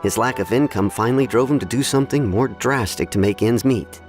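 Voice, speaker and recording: A man's voice: mid-range voice, a little fast, soft background music, close to an everyday voice. A man playing an easygoing townsman in a video game, sharing gossip in a conversational, hedging manner. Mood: thoughtful. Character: easygoing, watchful townsman passing on gossip, measured.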